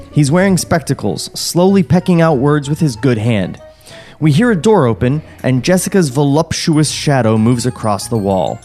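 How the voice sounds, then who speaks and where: A man narrates a screenplay at a table read, reading the stage directions in a middle-pitched voice. Faint music sits behind him. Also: a little mellow.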